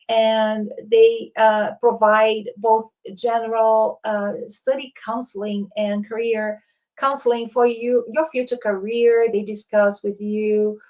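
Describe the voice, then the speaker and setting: A woman's voice: medium pitch, darkish tone, lo-fi sound. A woman from Brazil with a Brazilian accent, a university coordinator giving an informational presentation, hesitant with frequent fillers and restarts. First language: Portuguese. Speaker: international coordinator.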